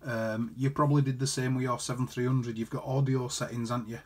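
A man's voice: medium pitch, little pitch movement, quick pace, breathy edge. A man chatting casually as an amateur radio operator, reading out callsigns and figures. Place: indoor room beside a desktop transceiver.

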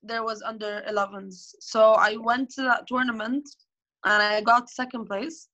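A young woman from Egypt talking over a video call from home. Her voice is bright and forceful and a little high. She chats casually, stops and restarts often, and uses many fillers. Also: little pitch movement, moderate pace, dull audio. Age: 20s. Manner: chatty, with frequent fillers and restarts. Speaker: professional squash player, guest. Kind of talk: casual interview answers. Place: bright indoor room, at home. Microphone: phone or laptop camera on video call.

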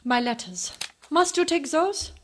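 Woman acting a guarded young woman, higher voice. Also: animated, a little slow, slight background noise. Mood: pleading. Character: guarded, sorrowful young Central European woman in love. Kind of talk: radio drama acting.

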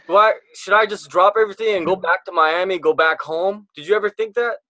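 A young adult man runs the interview over a webcam call, posing leading, encouraging questions. His voice is a bit high for a man, and the sound is close and dry with no room echo. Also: a little fast, sharp tone. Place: indoors, home room. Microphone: video call webcam.

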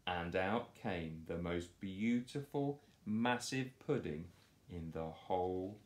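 A man in his thirties reading a picture book aloud to children in a playful, expressive storytelling style. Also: low voice, slow. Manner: animated, playful delivery. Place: small living room, on a sofa indoors. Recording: roomy home echo.

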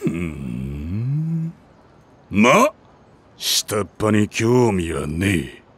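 An actor playing a menacing middle-aged alley-cat gang boss with drawling, intimidating gangster talk in a voice drama. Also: male voice, sits low, very animated, extremely slow, fairly bright tone, slightly rough, heavily acted. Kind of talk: Japanese voice drama acting.

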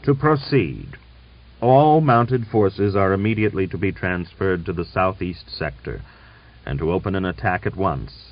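A male narrator plays an irascible old general in an audiobook novel. He is hoarse and imperious, blustering out commands. The sound is dull and lo-fi. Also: low voice, animated, unhurried, full character voice. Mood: gruff, commanding. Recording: dull lo-fi recording.

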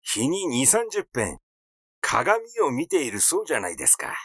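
A man's voice: mid-range voice, huge pitch swings, moderate pace, a bit bright, slightly rough, dry recording, clean studio sound, full character voice. A man voicing a glib, cheeky, eccentric male character in an audiobook, brash and colloquial in a teasing way. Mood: mocking.

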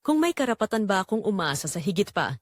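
Audio drama narrator: wistful young man female performer, earnest, dramatic, lively intonation, dry sound. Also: mid-range voice, fast, no echo. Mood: wistful, intimate. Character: wistful young man recalling a secret romance. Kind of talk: scripted first-person audio drama narration.

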